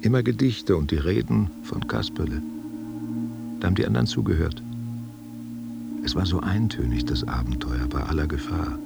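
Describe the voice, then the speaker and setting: An actor playing a guilt-haunted war veteran confessing to his lover in a halting, self-questioning monologue. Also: low-pitched, animated, gravelly, loud music behind the voice, heavily acted. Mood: grim, remembering. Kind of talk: literary audio drama monologue.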